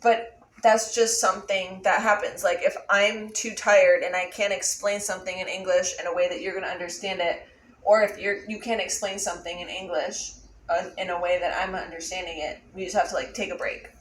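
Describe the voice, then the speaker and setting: A woman's voice: on the low side, steady tone, a little fast, recorded from a distance. Young American woman vlogger, chatty and explanatory, echoey room, faint background noise. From Buffalo, USA. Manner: chatty, explains at length with frequent "like". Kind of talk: casual chat.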